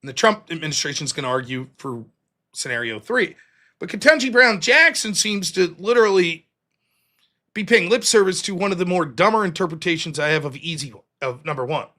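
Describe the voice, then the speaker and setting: High, bright-voiced man arguing a point. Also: lively intonation, brisk. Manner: argumentative, explaining a legal reading point by point. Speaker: livestream show host. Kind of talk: solo political commentary.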